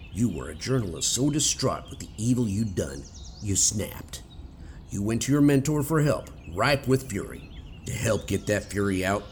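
A man's voice: lowish voice, a little hiss or hum. A man playing a stern, accusing police detective in a radio theater drama.

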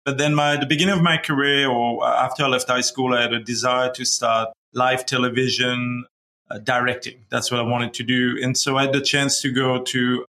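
A middle-aged man from Belgium, a company founder, speaking as a guest over a video call in a halting casual way, with many restarts and fillers. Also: medium pitch, pushed and bright. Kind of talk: casual interview talk.

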